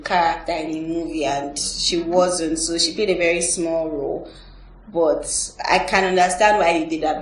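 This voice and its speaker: A woman's voice: fairly low pitch, recorded from a distance. A woman hosting a casual panel review, giving her opinions and thinking aloud as she talks. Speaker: host of a team film review. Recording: echoey room, off the mic, faint noise.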